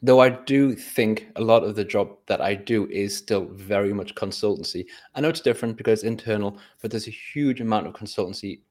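Relaxed low-voiced man chatting. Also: brisk, hardly any pauses. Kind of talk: casual chat. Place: indoors at home.

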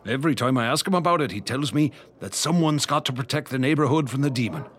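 A man's voice: mid-range voice, quick pace, natural voice. A male actor playing a folksy, superstitious Irish policeman in an old-style radio drama, with an Irish accent. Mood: gossipy. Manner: colorful, animated, folksy. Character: earthy, superstitious Irish beat policeman, talkative and deferential.

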